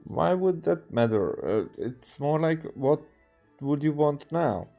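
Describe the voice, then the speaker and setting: Mellow, halting man commentating a game. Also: on the high side, animated, creaky. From Hungary. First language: Hungarian. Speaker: game streamer. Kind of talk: casual game commentary, reading dialogue aloud. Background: game music.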